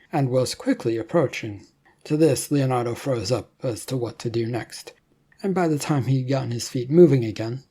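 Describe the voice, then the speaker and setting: A narrator delivers scripted adventure fiction in a dark, relaxed tone. The voice is medium in pitch and breathy around the edges.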